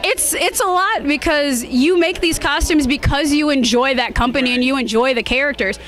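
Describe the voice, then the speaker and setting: High-voiced, bubbly woman chatting in an interview. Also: normal speed, fairly bright tone. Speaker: cosplayer being interviewed. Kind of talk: casual interview chat at a convention.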